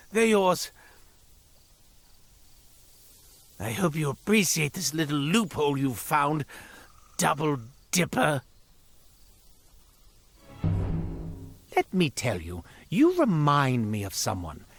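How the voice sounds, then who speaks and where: A sardonic, put-upon game shopkeeper with a thieving past. His voice sits fairly high and leaps all over its range. He rambles with theatrical exasperation, recorded close and dry. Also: a bit bright, dry recording, exaggerated voice. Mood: bitter, exasperated. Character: beleaguered, sardonic, cursed former thief turned quartermaster shopkeeper. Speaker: video game shopkeeper character voice. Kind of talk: theatrical scripted character dialogue.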